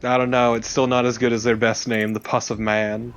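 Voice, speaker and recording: A man's voice: medium pitch, flat delivery, fairly quick. A man giving casual, sarcastic banter as co-commentator on a gaming video, swearing freely. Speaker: co-commentator on a let's play. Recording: game music behind the voice. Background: video game music.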